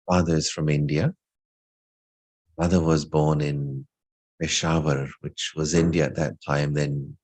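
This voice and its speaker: Very deep-voiced man, informally reminiscing. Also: a little mellow, breathy edge. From England. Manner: reflective, anecdotal, lightly humorous. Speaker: spiritual speaker. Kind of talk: informal talk sharing personal story.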